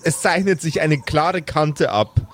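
A man narrating an improvised role-play story as game master, measured and pausing to find his words. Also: fairly high pitch, expressive, faint music behind.

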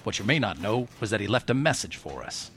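An actor playing an earnest, steady police detective in an old-time radio mystery. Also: male voice, lowish voice, fairly quick, slight background noise. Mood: firm.

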